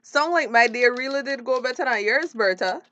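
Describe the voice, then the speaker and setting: Woman playing eager young female project worker, Caribbean accent, high pitch, chatty, upbeat, radio drama, faint noise. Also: moderate pace, a bit bright, dull audio, natural voice. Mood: upbeat, curious.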